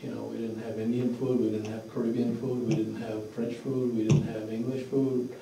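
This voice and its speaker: A man with a very muted, dark voice addresses a public meeting. He is blunt and matter-of-fact, with an average pitch. The sound is echoey and picked up from a distance. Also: flat delivery, normal speed. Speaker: fundraiser organizer speaking at a town meeting. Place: mid-sized indoor meeting room. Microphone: far room microphone. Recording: distant room mic, echoey, faint noise.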